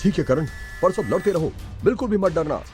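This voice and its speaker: A man voicing a loyal, watchful companion in a cartoon adventure, earnest and animated. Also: high-pitched, a little fast, faint music behind. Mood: reassuring.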